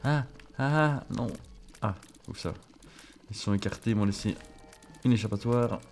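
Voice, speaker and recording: A man's voice: lowish voice, normal speed, a little mellow, soft background music. A French-speaking man casually commenting on his own gameplay, chatty and self-mocking, swearing under his breath. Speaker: gaming streamer. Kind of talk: casual let's play commentary.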